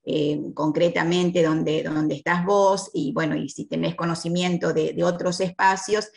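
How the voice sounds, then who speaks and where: A woman's voice: lowish voice, steady tone, unhurried, creaky. A woman with an Argentine accent asking a question on a video call, searching for words with fillers. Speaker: conference attendee asking a question.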